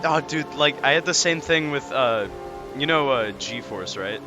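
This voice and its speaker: A young man with a mid-pitched voice shares stories in a loose, informal way. He halts and restarts as he goes. Clear music plays behind his voice. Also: expressive, pushed and bright. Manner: halting, filler-heavy, informal. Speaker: storyteller on a gaming channel. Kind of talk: casual storytelling chat.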